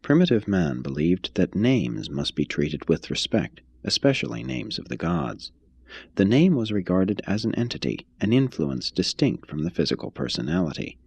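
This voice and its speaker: A man narrates a scripted text in a very low, husky voice. His delivery is measured and formal, yet the pitch moves in lively swings. The sound is dry and close. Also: no echo. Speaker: reader, narrator. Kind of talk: scripted audiobook-style reading of an expository text.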